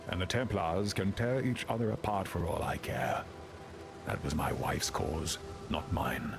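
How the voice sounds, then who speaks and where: Deep-voiced, bitter, grieving widower. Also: male voice. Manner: grave, brooding, formal. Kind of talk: video game voice acting.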